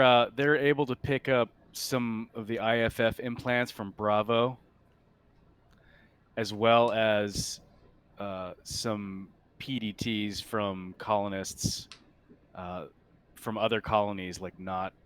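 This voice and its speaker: A man running a tabletop sci-fi roleplaying game as game master, improvising his narration in a halting, thinking-aloud way. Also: on the low side, fairly even intonation, a bit bright, clear, resonant tone.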